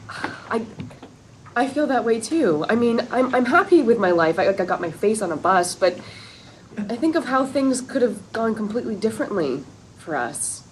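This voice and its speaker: A wry TV news correspondent. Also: female voice, a little high, slight background noise, rough recording. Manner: wry, laughing, playful. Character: breezy woman TV news correspondent. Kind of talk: table read of a sitcom script.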